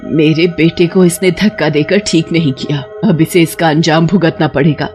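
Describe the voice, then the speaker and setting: Ghost witch mother, played by a woman: fierce, protective, doting. Animated horror story with music bed. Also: sits low, moderate pace, natural voice. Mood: vengeful. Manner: menacing to foes, doting to her child.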